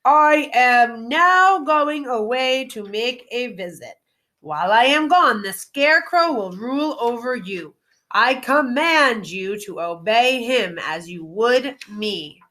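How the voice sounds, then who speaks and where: A woman voicing a grown man, a friendly humbug wizard, in an animated storybook read-aloud. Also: a little high, slow pace, voice pushed up, heavily acted. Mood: grand, commanding.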